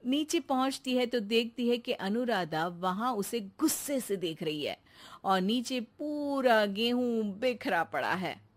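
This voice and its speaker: A woman's voice: fairly high pitch, lively intonation, measured pace. A woman narrating a cartoon story as a scripted storyteller.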